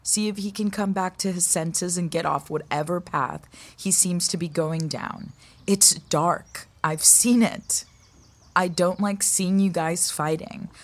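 A woman's voice: lowish voice, fairly even intonation, unhurried. A woman playing a concerned, frank young college rowing mentor in a naturalistic audio drama. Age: young adult. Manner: frank, earnest.

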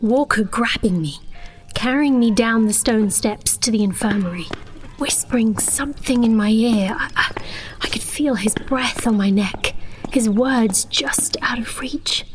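A woman playing a sheltered young sorceress, anxious and stubborn, in an intimate, breathy dramatized first-person fantasy story. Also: on the high side, unhurried, lightly acted, low-quality recording. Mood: breathless, tense. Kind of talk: dramatized first-person audio fiction narration.

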